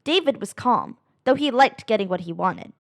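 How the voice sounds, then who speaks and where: A woman reading a children's picture book aloud in an animated, expressive way, drawing out phrases for dramatic emphasis. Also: a little high, normal speed, vocal fry, no room sound, clean studio sound. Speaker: read-aloud narrator of children's books.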